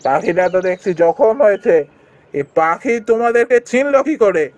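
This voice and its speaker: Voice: medium pitch, expressive, normal speed, darkish tone, dry recording, natural voice. A performer playing a stern, commanding royal man in a fairy-tale cartoon, questioning with authority.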